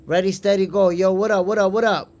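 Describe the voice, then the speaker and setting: Boxing stream host: Dominican New Yorker, friendly and chatty, repeats himself, slightly high voice. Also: male voice, steady tone, a little fast, darkish tone. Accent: New York. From Dominican Republic. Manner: chatty, calls viewers "my brother", repeats words. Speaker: boxing podcast host reading live chat. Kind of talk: casual livestream commentary.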